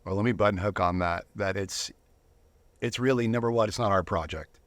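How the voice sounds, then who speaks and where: A man's voice: lowish voice, a little fast. A man speaking as a guest in a casual studio interview, earnest and reflective, sometimes repeating words as he goes. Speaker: interview guest, volunteer Bible reader.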